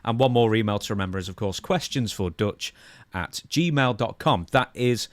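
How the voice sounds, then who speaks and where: A man's voice: fairly low pitch, lively intonation, normal speed, dry recording. A man co-hosting a podcast in casual chat, with dry, teasing banter as he runs through the show. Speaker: podcast co-host.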